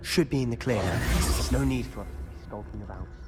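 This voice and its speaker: A man's voice: fairly low pitch, a little fast, close to an everyday voice. A man with a British accent playing a cocky, self-assured teenage wizard schoolboy in a fantasy video game. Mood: earnest. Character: cocky, loyal teenage wizard schoolboy, sly rule-breaker. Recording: music behind the voice.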